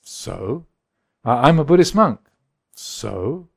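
A man's voice: mid-range voice, sing-song, takes it slowly, vocal fry, slightly rough. Reflective English monk in his sixties. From England. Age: 60s. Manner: gentle, reflective, chuckles often. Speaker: Buddhist monk leading a retreat. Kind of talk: dharma talk answering questions.

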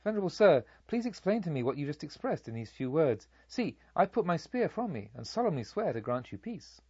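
A man's voice: a little high, sing-song, fairly quick, darkish tone, husky, no echo, lo-fi sound. A man voicing a fierce but humbled robber who questions a monk with earnest, formal respect, as in a dramatised audiobook. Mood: earnest pleading. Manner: emphatic, pleading, formally respectful.

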